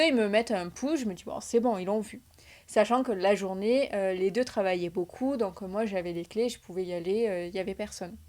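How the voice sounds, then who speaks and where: A woman co-hosting a real estate podcast, relaxed as she tells a story about a client visit, with many fillers. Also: medium pitch. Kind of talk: casual storytelling chat.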